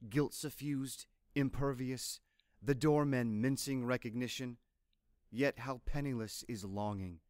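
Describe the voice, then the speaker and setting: Slow, smooth-voiced man reciting poetry. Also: mid-range voice, darkish tone, no room sound, clean studio sound. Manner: measured, deliberate, literary delivery. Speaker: poetry reader.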